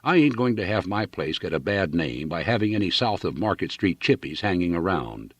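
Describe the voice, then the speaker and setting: A man's voice: sits low, very animated, brisk, slightly rough, no room sound, full character voice. Man voicing an irate, scolding, slangy landlady. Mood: indignant, threatening. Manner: vociferous, scolding, uneducated slangy speech. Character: irate, shrill, vulgar landlady, blustering and hostile. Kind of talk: audiobook character voice.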